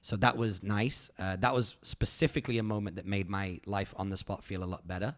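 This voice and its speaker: Podcast host, man in his thirties, low voice, loose rambling delivery with lots of fillers. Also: steady tone, a little fast. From United Kingdom. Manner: rambling, filler-heavy, earnest and grateful. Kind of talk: casual reflective chat.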